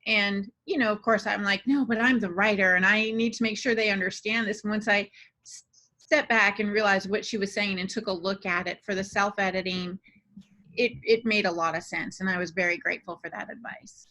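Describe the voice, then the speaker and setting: A woman's voice: mid-range voice, steady tone, brisk, pushed and bright. A woman chatting casually as a podcast co-host about the craft of writing. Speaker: podcast co-host and fiction writer.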